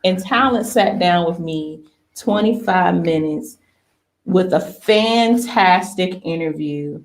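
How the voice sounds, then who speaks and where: A woman's voice: on the low side, takes it slowly. A woman hosting a talk show in a casual, bawdy style, with playful, slangy and informal delivery. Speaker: radio talk show host.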